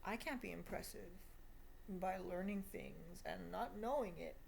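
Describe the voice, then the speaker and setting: A woman's voice: medium pitch, a little slow, vocal fry, a little mellow, slight background noise, low-quality recording. A woman of twenty giving a confessional video diary monologue in an emphatic, repetitive, self-deprecating way. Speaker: vlogger.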